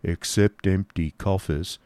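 A man voicing a gloomy, petulant apparition in a storybook reading, with a grim, drawn-out, snarling delivery. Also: deep voice, steady tone, a little mellow, no room sound. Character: gloomy, petulant male apparition urging despair.

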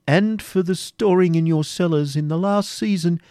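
A man's voice: high-pitched, moderate pace, dark tone, dry recording, clean studio sound, natural voice. A man voicing a pompous, indignant medieval prior who blusters scornfully and stammers when outraged.

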